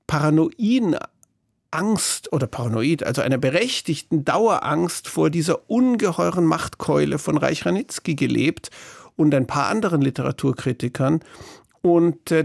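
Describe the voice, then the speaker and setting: Gravelly, higher-voiced middle-aged German man. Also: no echo, clean studio sound. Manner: thoughtful, searching for words, frequent restarts. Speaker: interview guest, author. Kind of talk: reflective interview talk.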